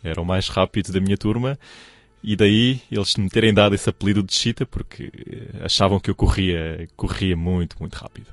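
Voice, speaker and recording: A man's voice: deep voice, steady tone, a little fast, no echo, soft background music. A young man with a European Portuguese accent, telling his story in a radio interview, hesitant and restarting often. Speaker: radio presenter, guest.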